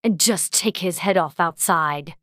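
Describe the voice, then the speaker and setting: A narrator voicing an imperious, bloodthirsty queen with a shrill, commanding manner, in a storybook reading. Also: a little slow, a bit bright, no echo, clean studio sound, lightly acted. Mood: cold command.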